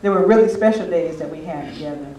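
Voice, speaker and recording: High-voiced older man in a roomy space. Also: quick pace, warm tone, lo-fi sound. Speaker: speaker at a spiritual retreat. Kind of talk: informal anecdotal talk to a gathering. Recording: roomy, distant mic with faint noise.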